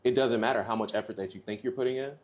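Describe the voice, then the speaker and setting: A man's voice: medium pitch, fairly even intonation, a little mellow. A man with an American accent hosting a casual podcast, talking through his views in long reasoned runs. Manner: fast, reflective, speaks in long reasoned runs. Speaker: podcast host, bar owner.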